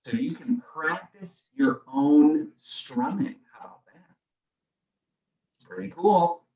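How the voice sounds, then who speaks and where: High-voiced man addressing children, distant dull recording. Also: takes it slowly, vocal fry, dark tone, echoey room. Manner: encouraging, addresses young children simply. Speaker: children's storytime guest musician. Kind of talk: children's singalong and friendly explaining. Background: acoustic guitar.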